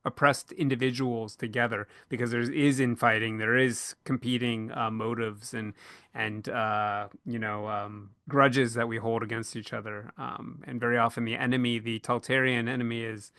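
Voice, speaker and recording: A man hosting a podcast panel, speaking casually and pausing often mid-thought as he works through his points. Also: mid-range voice, little pitch movement, bright, forceful tone, no room sound, studio quality. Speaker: podcast host and panel moderator.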